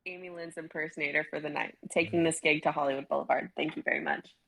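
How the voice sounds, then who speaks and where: Giggly husky young woman. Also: low voice, fairly even intonation, fast, a bit bright, low-quality recording. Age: young adult. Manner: giggly, playful, joking. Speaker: stream co-host and reader. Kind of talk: casual group chat.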